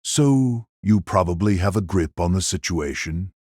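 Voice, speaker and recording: A man's voice: deep voice, animated, measured pace, darkish tone, no echo, studio quality, pitched below the performer's own voice. A man playing a composed, probing male warrior in audio drama dialogue.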